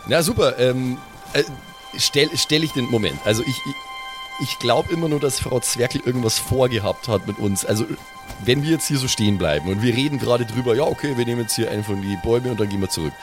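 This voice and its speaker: A man's voice: mid-range voice, quick pace, over music. A man chatting casually as he improvises as a player in a tabletop role-playing game. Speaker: player in an improvised pen-and-paper audio play.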